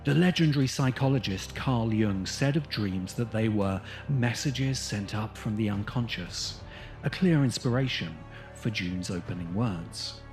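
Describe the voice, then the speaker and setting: A man delivering scripted documentary narration in a measured, deliberate way. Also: fairly low pitch, lively intonation, a little mellow. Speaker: narrator, video essayist. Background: music bed.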